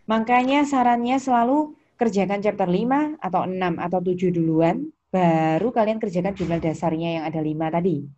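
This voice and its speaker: A woman's voice: on the low side, a little mellow. An Indonesian woman teaching an accounting lesson, questioning students, repeating key points and prompting them. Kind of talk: interactive online lesson, questioning students by name. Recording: muffled lo-fi audio with background noise.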